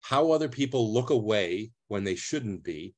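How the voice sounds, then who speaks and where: A man's voice: on the low side, animated, normal speed. A man, giving an earnest, plain-spoken talk over a video call as a retired public defender. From US East Coast.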